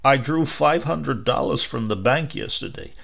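A man's voice: medium pitch, animated, moderate pace, vocal fry, a bit bright, exaggerated voice. Man acting an eager old dreamer husband. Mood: excited, resolute. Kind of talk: audiobook character voice.

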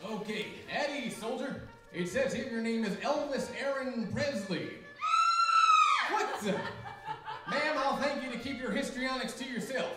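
Older man as high-voiced, exasperated army sergeant. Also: measured pace, over loud music. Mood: exasperated, sardonic. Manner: barking, sardonic. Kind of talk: live old-time radio comedy skit. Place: library room, live performance, indoors. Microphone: stand microphone, distant camera. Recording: echoey room, far mic, audience noise.

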